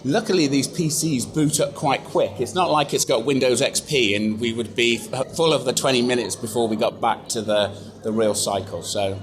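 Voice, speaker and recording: An English man giving a chatty, self-deprecating live software demonstration to a conference audience, with lots of fillers. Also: medium pitch, moderate pace, few pauses, noisy background. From England. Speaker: software presenter. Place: conference room.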